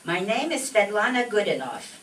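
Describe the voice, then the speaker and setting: A woman's voice: medium pitch, very animated, normal speed, a bit bright, recorded from a distance. Woman playing an indignant old immigrant mother, echoey stage. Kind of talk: comic old-time radio skit acting. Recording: echoey stage recording from afar, faint noise.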